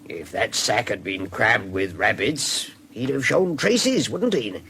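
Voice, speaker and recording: Creaky old-fashioned country fella, very lively up and down, respectful, radio drama with some noise. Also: male voice, fairly low pitch, full character voice. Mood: amiable, shrewd. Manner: folksy, respectful, unhurried. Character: deferential, observant rural English working man. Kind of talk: British radio drama acting.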